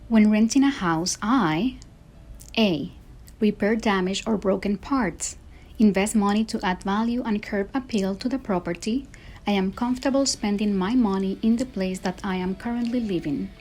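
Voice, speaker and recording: Earnest Puerto Rican woman, mellow voice. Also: medium pitch, normal speed. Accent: Puerto Rican Spanish. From Puerto Rico. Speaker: speaker. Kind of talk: personal story talk.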